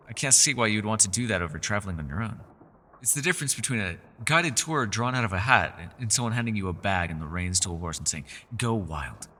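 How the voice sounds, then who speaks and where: A man's voice: fairly low pitch, sharp tone, long reverb tail, exaggerated voice. A man playing a mysterious, scholarly elf in a fantasy roleplay, with a refined, theatrical and teasing manner. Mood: guarded, skeptical. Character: mysterious, scholarly male elf, playful yet guarded. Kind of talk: fantasy ASMR roleplay, scripted character acting.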